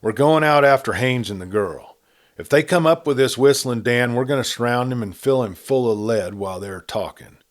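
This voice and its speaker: A man voicing a sarcastic, menacing outlaw gang leader in a Western story reading, talking in rough frontier speech. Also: mid-range voice, moderate pace, voice pushed up, lightly acted. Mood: commanding. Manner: taunting, commanding, rough frontier talk.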